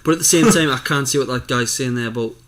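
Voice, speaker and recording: A man with a voice of ordinary pitch co-hosts a podcast. His talk is informal and conversational, like a relaxed chat between friends. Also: fast. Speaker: podcast co-host. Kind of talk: casual chat.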